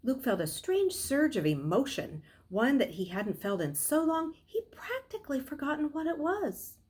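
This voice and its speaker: Expressive middle-aged woman reading a story aloud. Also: high-pitched, moderate pace. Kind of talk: expressive read-aloud narration. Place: small furnished room at home, indoors.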